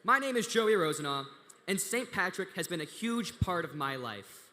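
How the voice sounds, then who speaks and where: A man's voice: sits high, sing-song, normal speed, sharp tone. A teenage boy giving a prepared speech at a podium, reading earnestly from a script in a large hall. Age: teens. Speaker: student speaker. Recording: reverberant hall.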